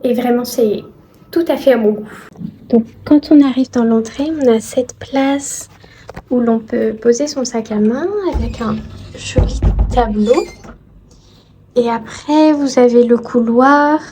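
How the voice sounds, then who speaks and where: A woman narrating a casual travel vlog in a polite, soft-spoken, descriptive way. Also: on the high side, steady tone, a little slow, a little hiss or hum. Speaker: vlogger.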